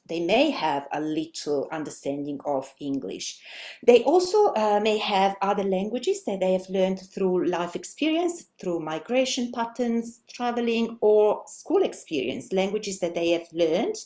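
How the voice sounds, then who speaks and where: A middle-aged woman with an Italian accent, a native Italian speaker, giving a webinar presentation in a halting way with many fillers and restarts. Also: medium pitch, a little mellow. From Italy. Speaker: language education presenter.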